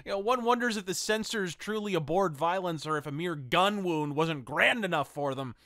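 Higher-voiced man reviewing with ornate wording. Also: moderate pace, bright, forceful tone, no room sound, clean studio sound. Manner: wordy, ornate vocabulary mixed with blunt asides. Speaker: podcast host and reviewer. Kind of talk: enthusiastic semi-scripted radio drama review.

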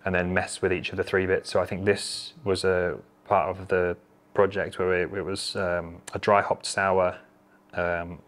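A man in his thirties talks shop at a table in a brewery taproom. His voice sits low and his delivery is easygoing, wandering through restarts as he explains how things work. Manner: relaxed, rambling, many restarts. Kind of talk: casual chat at a table.

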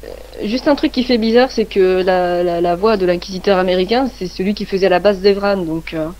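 A woman co-hosting a casual fan livestream, chatting quickly and informally. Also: medium pitch, darkish tone, lo-fi sound. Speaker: co-host.

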